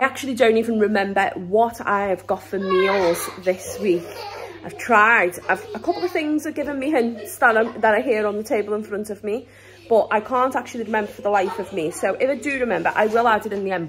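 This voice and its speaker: A British woman in her thirties chatting through a family grocery haul at home, rambling and laughing often while filming on a handheld phone. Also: mid-range voice. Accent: British English. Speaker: vlogger. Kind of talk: casual grocery haul vlog. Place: home kitchen, indoors. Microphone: handheld phone camera.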